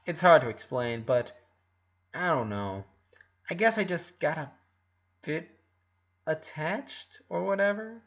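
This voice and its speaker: A man's voice: fairly high pitch, expressive, slow, pauses often, a bit bright, highs cut off, heavily acted. A man voicing a shy, guarded tomboyish young girl in a storybook reading, hesitant and bashful. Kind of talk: storybook character dialogue.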